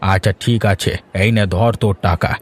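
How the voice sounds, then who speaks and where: Guy doing a gruff bengali cartoon customer, husky lowish voice, scolds everyone like family. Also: fairly even intonation, a little slow. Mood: grumbling. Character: blustering, suspicious Bengali husband shopping for fish. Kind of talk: cartoon voice acting.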